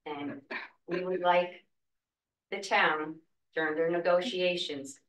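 A woman's voice: lowish voice, steady tone, slow, fairly bright tone, distant mic. A woman, a school cafeteria worker, speaking earnestly at public comment during a school board meeting from a wooden podium. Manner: earnest, emotional plea. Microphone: podium mic, heard from a far camera. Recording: echoey room, voice heard from afar.

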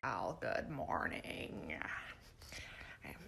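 A woman playing a dreamy person who whispers slowly and breathily about her morning coffee. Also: a bass voice, sing-song, fairly bright tone, voice pushed down, exaggerated voice. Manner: slow breathy whisper, giggly.

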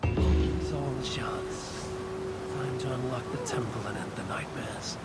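Viking heroine: defiant, brash game fighter, very animated delivery under loud music. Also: female voice, a bass voice, a little slow, heavily acted. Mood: musing. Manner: blunt, defiant. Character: brash, stubborn Viking warrior woman. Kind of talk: video game cutscene acting. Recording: loud music behind the dialogue.

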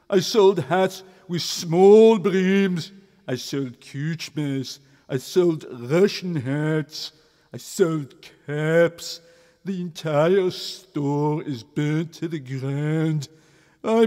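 A man plays a distraught middle-aged shopkeeper in a children's story, lamenting with Yiddish cries. The voice sits high and moves slowly. A long hall reverb trails every phrase. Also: darkish tone. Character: distraught middle-aged male hat-store owner.

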